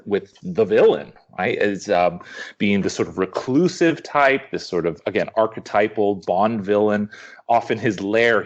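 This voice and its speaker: A man guesting on a podcast, explaining art in a halting way with many fillers. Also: lowish voice, lo-fi sound. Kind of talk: casual explaining.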